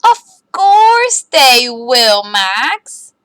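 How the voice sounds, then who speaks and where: A woman's voice: on the high side, sing-song, very slow, fairly bright tone, dry recording, studio quality, full character voice. A woman voicing a kind female schoolteacher in a children's storybook, reassuring and instructive.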